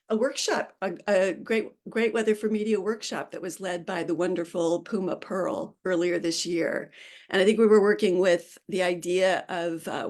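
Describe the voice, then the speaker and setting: A woman reads her poems aloud in a slow, unhurried way, her pitch sitting in a middle range. Between pieces she talks in a loose, halting way, with small pauses and restarts. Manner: slow, measured poetry delivery with hesitant asides. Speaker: poet reading her work. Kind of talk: live poetry reading.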